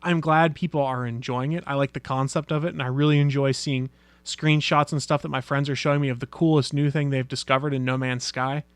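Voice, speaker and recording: A man's voice: medium pitch, little pitch movement, a little fast, smooth, dry recording. Laid-back man hosting a podcast. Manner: laid-back, chatty, laughs easily. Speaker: podcast host. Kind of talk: casual podcast chat.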